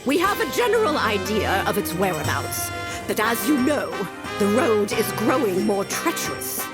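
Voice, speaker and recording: A woman voicing a shrewd, composed older madam with a theatrical, wry, measured delivery in a video game. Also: mid-range voice, big pitch swings, natural voice. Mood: smoothly placating. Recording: loud music behind the voice.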